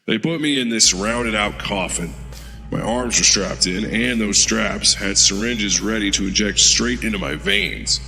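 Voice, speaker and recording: An actor playing a veteran male super-soldier who calmly recounts his past in an audio drama. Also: a little slow, soft background music. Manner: steady recounting, low-key storytelling.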